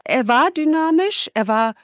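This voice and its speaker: A woman's voice: high voice, expressive, measured pace, dry recording, studio quality. A woman correspondent answering interview questions in an emphatic, opinionated and wry way. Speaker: correspondent in the USA. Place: USA.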